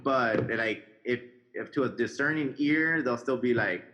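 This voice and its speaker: Mid-pitched man in casual chat. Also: a little slow, fairly bright tone, muffled recording, soft background music. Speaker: bass player, guest.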